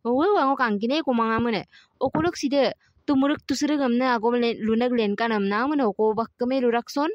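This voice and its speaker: Woman voicing a high-pitched, drawling cartoon village woman. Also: very slow. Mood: chatty. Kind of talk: amateur cartoon voice-over dialogue. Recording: muffled lo-fi sound with roomy echo.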